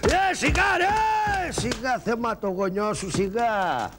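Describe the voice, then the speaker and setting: Shadow-puppet trickster: poor, scheming, wisecracking, gravelly and high, played by a man. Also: animated, noisy background. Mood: griping. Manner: mocking wisecracks, bursts into loud street-crier shouts. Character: poor, cheeky, scheming male trickster of middle age. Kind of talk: Greek shadow-puppet theatre.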